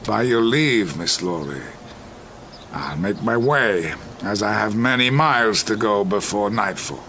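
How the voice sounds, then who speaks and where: Slow, sly wandering peddler. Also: male voice, on the low side, animated, bright, forceful tone, slight background noise, full character voice. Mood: sly, obsequious. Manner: florid, deferential salesman's patter. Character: wandering peddler of charms, sly, ingratiating showman. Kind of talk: theatrical audio drama acting.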